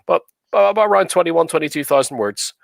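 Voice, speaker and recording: A man's voice: a little high, quick pace, vocal fry, no room sound. A middle-aged man with a cold, hosting a live stream in casual chat with many fillers. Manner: relaxed, chatty, many fillers. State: has a cold. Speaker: livestream host.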